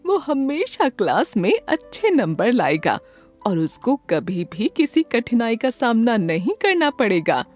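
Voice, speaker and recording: A woman voicing a gentle, doting grandmother who coaxes a child affectionately in a children's cartoon story. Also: a little high, very animated, a little slow, faint music behind. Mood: persuasive.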